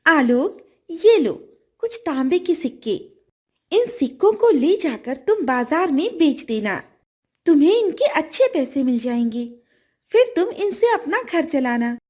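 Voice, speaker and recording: A woman voicing a kind, grateful magical golden fish in a children's fairy tale, gentle and earnest in manner. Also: high voice, sing-song, a little slow, voice pushed up.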